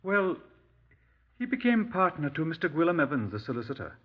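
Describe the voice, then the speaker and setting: An actor playing a talkative, parochial Welsh country police sergeant with a quaint, emphatic manner in a 1950s radio drama. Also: male voice, a little high, very animated, a little fast. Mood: gossipy, explanatory. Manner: quaint, emphatic, tag questions. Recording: dull, husky-edged 1950s radio recording.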